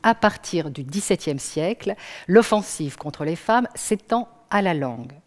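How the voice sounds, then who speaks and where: A woman's voice: fairly low pitch, lively intonation, unhurried, darkish tone, big-room sound. A woman performing a declamatory stage text with emphatic, rhythmic recitation.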